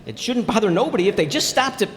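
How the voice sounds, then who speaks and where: Man acting blustery folksy husband, swooping pitch, echoey hall. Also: animated, quick pace, music in the background. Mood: folksy, puzzled. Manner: indignant, colloquial, stammering. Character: blustery, folksy, bickering husband with shaky grammar. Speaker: radio player in a live re-enactment. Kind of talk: old-time radio comedy acting. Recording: echoey hall stage recording.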